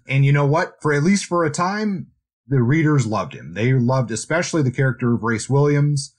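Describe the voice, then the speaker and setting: A man co-hosting a podcast, discussing books in a casual, measured and slightly hedging way. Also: medium pitch. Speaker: podcast host.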